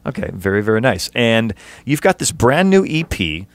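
A man's voice: mid-range voice, big pitch swings, no room sound. A man hosting a music interview show, reading a scripted introduction and then chatting with a band. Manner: measured, announcer-like delivery. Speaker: radio show host.

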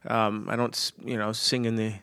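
A man with a clear voice, a musician guest answering questions haltingly with many false starts. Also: lowish voice, level tone, pushed and bright, no echo, clean studio sound. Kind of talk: casual interview chat.

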